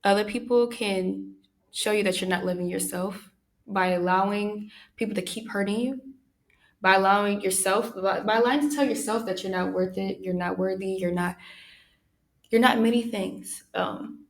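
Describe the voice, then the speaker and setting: A young woman hosting her own podcast, talking through personal thoughts in a soft, soothing voice, pausing often and using many fillers. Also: mid-range voice, fairly even intonation, creaky. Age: young adult. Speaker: podcast host. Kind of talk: reflective personal monologue.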